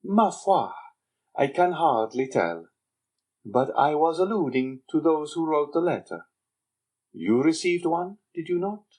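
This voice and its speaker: Man voicing a sly young man, husky, raised pitch, slow, insinuating. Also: very animated, darkish tone. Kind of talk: audiobook character reading.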